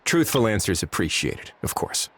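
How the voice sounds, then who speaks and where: An actor voicing a composed, dry-witted adult official in a video game, speaking in a wry, quietly commanding way. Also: male voice, lowish voice, sing-song, normal speed, heavily acted. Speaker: game character.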